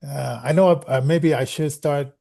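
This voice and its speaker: A man's voice: on the high side, steady tone, moderate pace, creaky, dark tone. A man hosting a casual, unscripted show, talking in an informal, rambling way. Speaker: podcast host.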